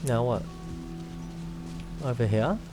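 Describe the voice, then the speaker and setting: A let's-play host comments casually in a mid-pitched voice. The delivery is musing, like someone thinking aloud while playing and reading game text. Faint game music plays underneath. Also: lively intonation, slow. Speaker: gaming video host.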